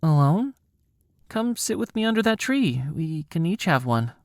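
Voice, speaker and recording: Man playing curious young boy, high-pitched and earnest. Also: sing-song, measured pace, no room sound, clean studio sound. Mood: curious, gentle. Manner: innocent, frank, questioning.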